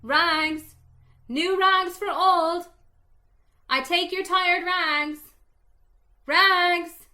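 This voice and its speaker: A woman doing a gentle young ragman. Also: fairly even intonation, very slow, long pauses, full character voice. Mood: calling out. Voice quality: clear tenor call. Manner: calls out long and high. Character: tall strong young male ragman, gentle.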